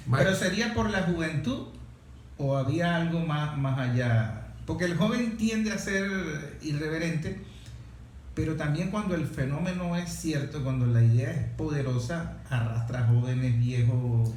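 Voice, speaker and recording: A man's voice: on the high side, measured pace, darkish tone. A man with a Venezuelan accent interviewing a guest in a conversational way, asking questions and rephrasing answers. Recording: very echoey room, faint ambient noise.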